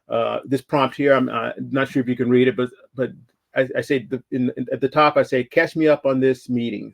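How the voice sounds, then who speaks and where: A man's voice: medium pitch, a little mellow. A man giving a conversational virtual conference talk over slides, with frequent fillers and restarts. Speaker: conference speaker.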